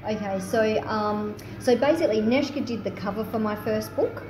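A woman's voice: medium pitch, normal speed. A woman speaking informally as a guest poet in a live interview, thinking aloud as she tells her story. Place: live in a taproom bar. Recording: echoey, noisy live venue recording.

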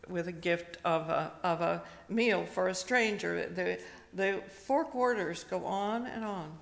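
An older woman, a retired pastor, preaches slowly and gently, telling a personal story with pauses, at a pulpit microphone in a church. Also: on the low side, gravelly. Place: church sanctuary, indoors. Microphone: stand microphone at a pulpit. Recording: long reverb of a church hall.

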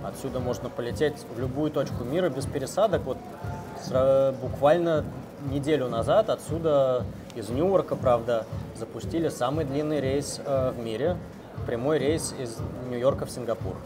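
A man in his thirties from Russia with a Moscow accent, chatting in a relaxed, rambling way with filler sounds as an interview guest in a café. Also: mid-range voice, normal speed. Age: 30s. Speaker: interview guest, software engineer. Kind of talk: casual interview chat. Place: busy café, indoors. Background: loud music.

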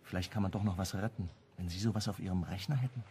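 An actor playing a hunted, wry amateur sleuth, narrating and acting in a crime radio play in a clipped, brooding way. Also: male voice, quick pace, mellow, a little hiss or hum, lightly acted. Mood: pleading. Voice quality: low, husky. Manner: clipped, brooding, first-person telling.

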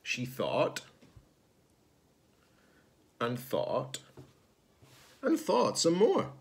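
Slow, animated man reading aloud. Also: medium pitch, creaky, slight background noise. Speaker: teacher-librarian reading aloud. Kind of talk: children's storybook read-aloud. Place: small indoor room at home.

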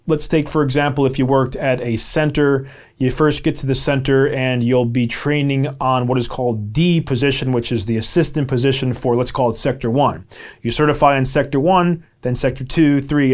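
A man's voice: medium pitch, a little mellow. American male presenter, 30s, mid-range voice, informal explanatory vlog delivery. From Albany, New York, USA. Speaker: video presenter. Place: small home office, indoors.

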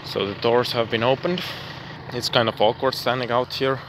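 A man's voice: medium pitch, steady tone, normal speed, background noise. A young man from Estonia vlogging casually outdoors at night and from his car, rambling and self-deprecating, swearing now and then. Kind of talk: casual vlog chat.

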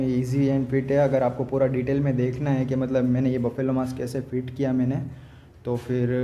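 A man's voice: mid-range voice, dark tone, dull audio. A man, vlogging casually and informally, repeating himself and mixing in English words. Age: 20s. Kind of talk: casual product review to camera. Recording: echoey room with clear background noise.

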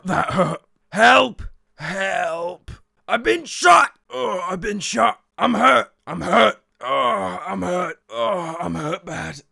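A man plays an eager, loyal male soldier devoted to his captain in game-style voice lines. The voice is a little high and gravelly, with clipped military delivery. The recording is close and dry. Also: pushed and bright, dry recording, heavily acted. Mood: pained. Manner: clipped, repeated military barks and replies.

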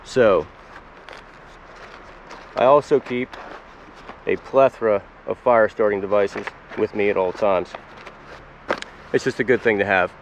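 A man's voice: fairly low pitch, unhurried, long pauses, dull audio, a little hiss or hum. A man in his forties, hosting a foraging channel, chatting casually to the camera about his gear while kneeling in the woods. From Pennsylvania, US. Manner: relaxed, chatty, explains plainly with fillers. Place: woods outdoors in spring.

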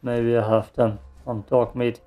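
A man's voice: medium pitch, flat delivery, measured pace, warm tone, rough recording. A man giving casual gameplay commentary, muttering to himself and given to swearing. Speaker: let's play streamer.

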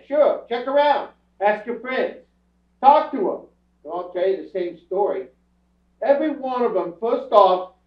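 A man playing a defensive, self-pitying, streetwise bum under questioning in a staged radio crime drama, protesting in halting, ungrammatical speech. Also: extremely high pitch. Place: theatre stage before an audience. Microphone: far camera. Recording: roomy theatre stage from far off, muffled.

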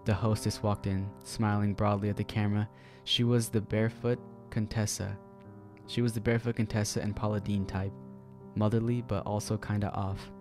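Male narrator for a horror story: low, mellow, flat delivery, soft music underneath. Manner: calm, measured storytelling.

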